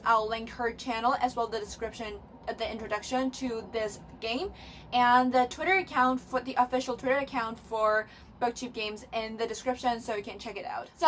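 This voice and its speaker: Young woman, Cantonese first language, brightish pitch, chatty booktuber with frequent "um"s, faint room hiss behind. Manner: chatty, many fillers and restarts. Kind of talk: casual bookish chat to camera. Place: bedroom. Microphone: camera mic.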